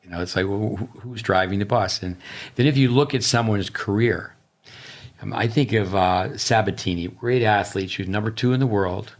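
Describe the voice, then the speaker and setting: Deep-voiced middle-aged man coaching talk. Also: normal speed. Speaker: tennis coach, podcast co-host. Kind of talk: casual podcast chat.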